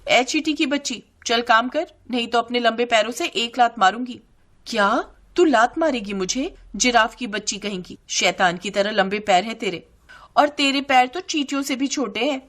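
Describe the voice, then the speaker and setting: A woman voicing quarrelsome young sisters-in-law, shrill and taunting, in a comic family story. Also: normal speed, a bit bright, close to an everyday voice. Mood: taunting, angry.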